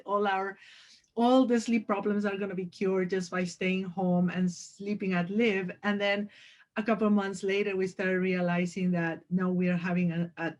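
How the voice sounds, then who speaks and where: A woman from Peru who is a sleep physician, speaking over a video call in a gracious, measured way. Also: on the low side, a little mellow, highs cut off.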